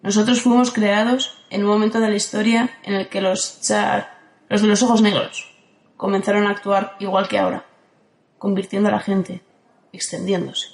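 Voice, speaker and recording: A woman from playing a calm, ageless guardian who speaks in a steady, firm way in an audio drama. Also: medium pitch, moderate pace, natural voice. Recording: roomy echo.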